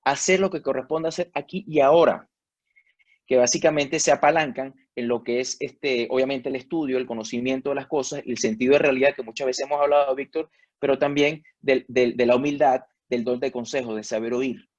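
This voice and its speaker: A Venezuelan man speaks at a middle pitch, working through his ideas slowly with frequent fillers and restarts. The sound is dry and a little dull, as if from a modest mic setup. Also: fairly even intonation, a little mellow, no room sound. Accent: Venezuelan Spanish. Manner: thoughtful, hesitant, many fillers and restarts. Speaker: panel guest. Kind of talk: reflective panel discussion.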